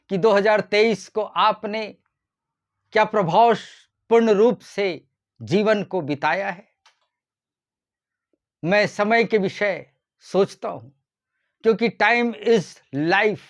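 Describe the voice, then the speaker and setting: A man preaching a short devotional sermon as a pastor, addressing his listeners in a deliberate manner. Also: sits high, dull audio. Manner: deliberate, repeatedly addressing listeners as dear ones.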